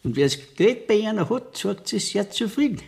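An actor playing a simple, plain-spoken older country man in a radio play, with a rural Bavarian accent and a halting manner. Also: high voice, sing-song, a little mellow, full character voice. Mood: hesitant, curious. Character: simple, cautious older country man bringing his savings. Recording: radio recording with clear noise.

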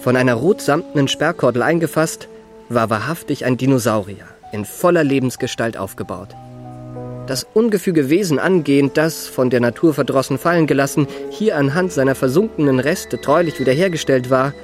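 A young man's medium-pitched voice, an actor's, plays a charming impostor posing as a nobleman. He speaks in courtly, flowery sentences, as in a literary radio drama. Music plays faintly underneath. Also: lively intonation, brisk, lightly acted. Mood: awed, moved. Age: young adult. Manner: florid, courtly, elaborate phrasing.

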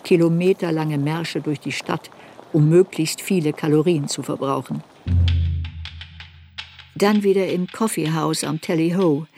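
A woman reading scripted literary narration for a radio play in a measured, reflective way. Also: sits low, warm tone, soft background music.

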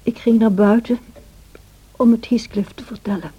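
A woman playing a sorrowful, gentle servant who narrates past events in a radio play in a measured way. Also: on the high side, fairly even intonation, slightly rough. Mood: solemn, tender. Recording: dull old radio play recording.

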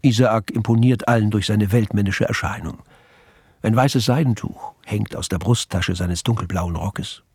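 Casting: composed crime-story narrator, husky low voice, literary and matter-of-fact delivery. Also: male voice, normal speed, a little mellow, dry recording. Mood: measured. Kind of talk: scripted radio play narration.

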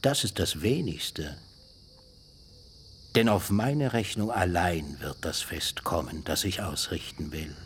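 A scheming, self-important older uncle in a radio drama, his voice very deep and his delivery slow and heavy. He haggles, grows solemn and sinks into whispers, with crickets chirping faintly at night. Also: slight background noise. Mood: boastful. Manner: bargaining, solemn, swinging between loud and whispered. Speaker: actor in a radio play. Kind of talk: German radio drama acting.

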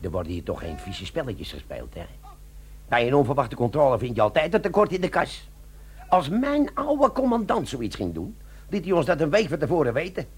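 An actor playing a wily, brazen army sergeant, a chummy smooth talker in a comic radio play. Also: male voice, on the high side, sing-song, brisk, heavily acted. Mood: grumbling, wheedling. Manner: cheeky, chummy, feigned innocence.

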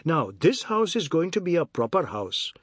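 A man's voice: fairly high pitch, very animated, normal speed, breathy edge, no room sound, voice pushed up. A man voicing a timid, evasive young married man in an audiobook, talking in an awkward, explanatory way. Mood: determined.

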